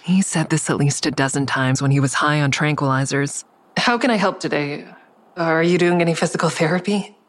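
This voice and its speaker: A woman's voice: deep voice, fairly quick, close to an everyday voice. An actress playing a sleepless, guarded woman in an audio drama, confiding and understated as she narrates and talks. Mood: guarded concern. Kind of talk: audio drama first-person narration and dialogue.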